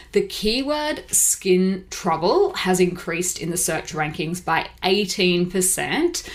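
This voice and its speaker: A woman hosting a beauty podcast, talking in a chatty, upbeat way with a few fillers. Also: lowish voice. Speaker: podcast host. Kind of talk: casual podcast talk. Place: Seoul.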